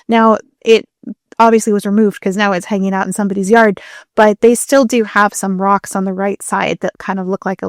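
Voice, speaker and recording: A woman's voice: medium pitch, creaky, dry recording, clean studio sound. A woman co-hosting a podcast in casual conversation, talking with a fan's enthusiasm and plenty of detail. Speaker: podcast co-host. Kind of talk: casual chat.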